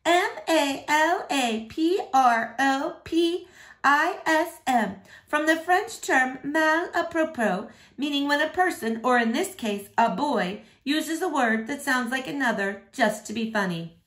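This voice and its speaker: A woman's voice: high voice, lively intonation, slow, fairly bright tone, echoey room, voice pushed up, heavily acted. A woman voicing a smug, eager schoolgirl teacher's pet in a storybook, prim and precise.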